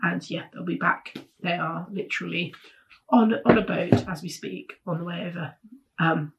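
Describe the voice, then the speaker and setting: A woman hosting a weekly sewing pattern roundup, chatting casually to camera in a husky, congested voice because of a cold, full of enthusiasm and frequent ums. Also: lowish voice, moderate pace, highs cut off, very noisy.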